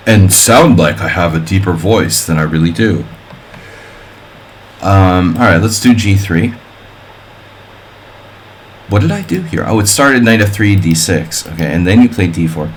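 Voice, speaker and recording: A man's voice: deep voice, lively intonation, unhurried, pauses often, a little hiss or hum. A man streaming chess, calling out moves and thinking aloud between offhand jokes and wordplay for his viewers. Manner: wry and offhand, chatting with viewers. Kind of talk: live-stream commentary while playing a simul.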